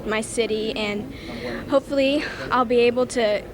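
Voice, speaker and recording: A woman's voice: on the high side, steady tone, moderate pace, rough recording. A young woman gymnast giving an interview answer. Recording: crowd sounds behind an on-location interview.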